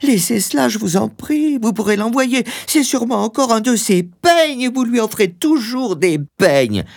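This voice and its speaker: A man voicing an anxious, wealthy older wife in a storybook, with shrill, imploring, frantically polite speech. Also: very animated, measured pace, vocal fry, exaggerated voice. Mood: urgent pleading.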